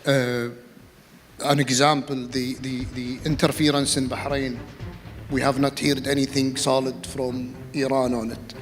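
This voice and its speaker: Halting, even-toned man in a reverberant hall. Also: mid-range voice, faint music behind. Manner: halting, repetitive, diplomatic phrasing. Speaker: panel speaker, official. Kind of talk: panel discussion answer.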